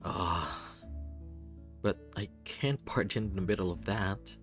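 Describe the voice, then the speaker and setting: A man with a fairly low voice narrates a first-person story. His delivery is expressive and theatrical, like someone acting out a visual novel. Music plays behind him. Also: takes it slowly. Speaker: reader voicing a visual novel.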